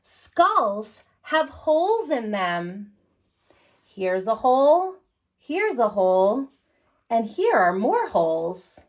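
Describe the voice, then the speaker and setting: Lady doing story time for little kids, high voice, really slow pace, encouraging and animated. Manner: animated, encouraging, addresses young listeners directly. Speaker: children's story time host. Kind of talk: reading and singing aloud to young children.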